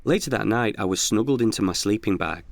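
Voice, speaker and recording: A man performing scripted narration of a comic horror tale as a dramatic storyteller, with hushed suspense and deliberate pauses. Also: on the low side, creaky, dry recording.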